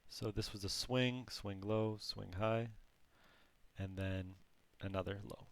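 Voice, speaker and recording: A man who is losing his voice, teaching a trading lesson in a slow, halting way with frequent restarts. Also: on the low side, steady tone, creaky. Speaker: trading course instructor. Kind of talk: screen-recorded trading lesson.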